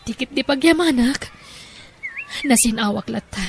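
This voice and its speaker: High-pitched earnest young daughter. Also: female voice, normal speed, a little hiss or hum. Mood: heartbroken. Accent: Ilocano. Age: young adult. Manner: heartfelt, expressive. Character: earnest, sentimental young Filipino daughter, newly graduated. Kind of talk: audio drama acting.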